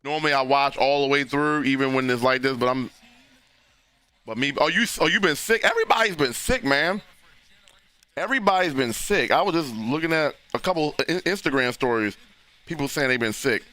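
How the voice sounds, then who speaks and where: A man, hoarse and losing his voice, hosting a casual live football reaction stream and talking loosely with frequent restarts. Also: mid-range voice, fairly quick, sharp tone, no echo. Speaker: livestream host reacting to a football game.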